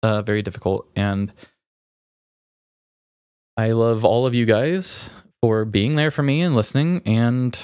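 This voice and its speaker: A man's voice: mid-range voice, measured pace, pauses often, a little mellow, smooth, no room sound, clean studio sound. A man hosting a podcast in a candid, unscripted chat, speaking hesitantly and informally while emotional from grief. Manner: hesitant, self-revealing, informal. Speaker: podcast host.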